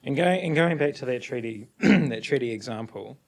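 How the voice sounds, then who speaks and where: A man speaking as a podcast guest, earnest and measured, weaving Māori words into his speech. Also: mid-range voice, animated, gravelly, low-quality recording. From New Zealand. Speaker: guest, climate activist. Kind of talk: podcast interview.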